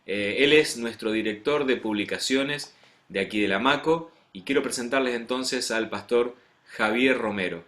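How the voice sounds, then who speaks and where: A man's voice: medium pitch, moderate pace, fairly bright tone. A man with an Argentine accent hosting an interview program as a pastor, greeting viewers in a friendly way and questioning his guest. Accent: Argentine Spanish. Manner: friendly, addresses viewers directly.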